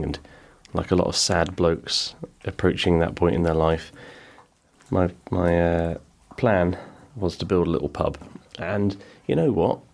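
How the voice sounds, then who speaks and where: A middle-aged man hosting a podcast, chatting casually and rambling with self-deprecating humor from his small backyard pub. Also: sits low. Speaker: podcast host. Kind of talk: casual chat. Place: small home-built pub in a garden outbuilding.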